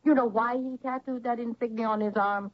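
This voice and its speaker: A woman's voice: fairly high pitch, steady tone, brisk, close to an everyday voice. Bitter mother played by an actress, muffled radio. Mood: bitter, accusing. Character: bitter middle-aged mother exposing a painful family secret. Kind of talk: radio drama acting. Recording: muffled old radio broadcast.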